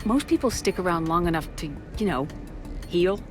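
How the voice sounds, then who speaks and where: A woman's voice: lowish voice, expressive, moderate pace, over music, lightly acted. A woman playing a tough sci-fi military commander in a video game, speaking in a dry, controlled, authoritative way. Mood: dry, questioning.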